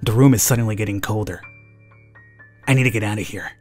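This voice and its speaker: A man's voice: fairly low pitch, fast, darkish tone. A man hosting a let's play, reading game narration dramatically and adding informal commentary. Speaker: gaming YouTuber, let's play host. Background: game music.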